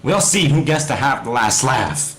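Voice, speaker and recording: A man's voice: medium pitch, animated, moderate pace, fairly bright tone, echoey room, pitched above the performer's own voice, lightly acted. A man voicing an eager, stubborn male adventurer with emphatic delivery, from a fan fiction story. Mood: stubborn, indignant.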